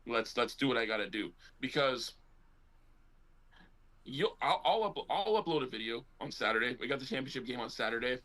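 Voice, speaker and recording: A man co-hosting a casual sports talk show, telling rambling, animated stories about coaching kids, loud when he gets excited. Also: medium pitch, fairly quick. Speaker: podcast co-host and youth basketball coach.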